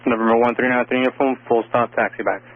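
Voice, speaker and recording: A thin, band-limited air traffic radio transmission. A man with a medium-pitched voice speaks as tower controller, clipped, firm and authoritative. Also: fairly even intonation, fast talker, narrow, tinny audio. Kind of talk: clipped radio instructions and rebukes.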